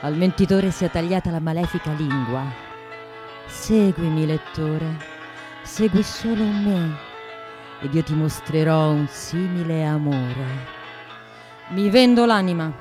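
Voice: mid-range voice, slow pace. A reader giving a dramatic, declamatory literary recitation for a promo. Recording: guitar music laid over the voice.

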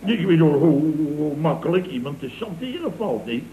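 Swooping, blustering company boss. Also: male voice, a little high, very animated, moderate pace, mellow. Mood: wheedling. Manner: theatrical, wheedling, rambling. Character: scheming, blustering, self-pitying male boss of a building firm. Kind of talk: radio comedy drama acting. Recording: dull old radio recording with hiss.

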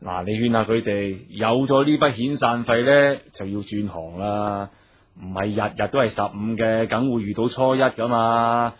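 A man with a Cantonese accent playing an authoritative gang boss and club owner in a radio drama, giving orders in a deliberate, lecturing way. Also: fairly low pitch, slightly rough, muffled recording. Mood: measured authority. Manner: deliberate, instructing, lecturing subordinates.

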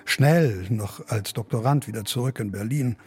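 An actor playing a wry older academic confessing his past in a measured, literary radio drama monologue. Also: mid-range voice, natural voice. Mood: wry, confessional. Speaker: second narrator character.

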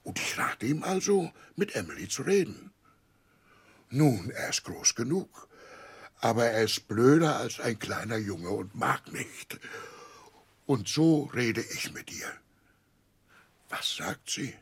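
An actor in a radio play playing a warm-hearted, simple seafaring uncle with a rough, husky voice and an emotional, halting way of telling things. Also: male voice, mid-range voice, sing-song, heavily acted. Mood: confiding, hearty. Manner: emotional, halting, emphatic storytelling with exclamations. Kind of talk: 1960s German radio play acting.

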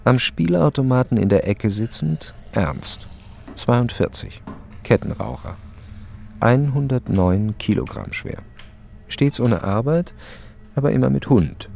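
A man's voice: medium pitch, animated, measured pace, vocal fry, darkish tone. A man narrating a radio play in terse, matter-of-fact fragments.

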